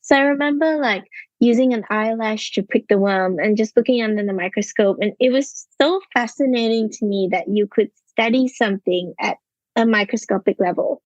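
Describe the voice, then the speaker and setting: Malaysian-raised woman, slightly high, muffled. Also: normal speed, dry recording. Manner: thoughtful, storytelling with fillers. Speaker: scientist guest. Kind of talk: interview answers.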